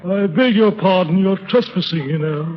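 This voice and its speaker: An actor playing a stuffy, formal, slyly corrupt manservant in a 1930s radio comedy, prim and deadpan. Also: male voice, high voice, normal speed, over music, lightly acted. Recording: muffled 1930s radio recording.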